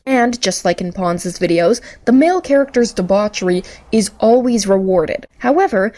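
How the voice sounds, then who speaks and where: A woman reads out scripted commentary in a steady, measured way. Her delivery is critical and deliberate, laying out points one after another. Her voice sits at an ordinary pitch for a woman. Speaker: video essayist.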